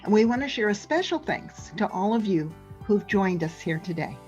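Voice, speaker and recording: A woman speaks as the host of an educational program over background music, with slightly dull sound. Her delivery is scripted and encouraging, with a medium-pitched voice. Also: expressive, moderate pace, darkish tone. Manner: encouraging, complimentary. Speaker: host of an educational read-along and draw-along program. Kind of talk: scripted hosting, addressing parents, teachers and viewers.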